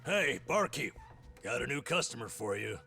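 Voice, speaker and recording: An actor playing a jovial, excitable middle-aged regular guy in a video game, informal and expressive. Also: mid-range voice, moderate pace, vocal fry, faint music behind, full character voice. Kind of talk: dramatic video game acting.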